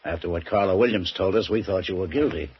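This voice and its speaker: A gruff low-voiced police lieutenant. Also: male voice, fast talker. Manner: gruff, no-nonsense. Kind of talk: 1940s radio drama acting. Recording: muffled 1940s radio broadcast.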